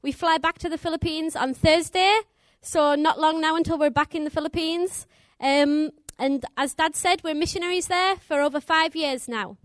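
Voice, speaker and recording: A woman's voice: high-pitched, moderate pace, hardly any pauses, fairly bright tone, dry recording. A young woman preaching as a missionary. She speaks English with a put-on American twang, chatty and upbeat, laughing often. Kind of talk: sermon. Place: church.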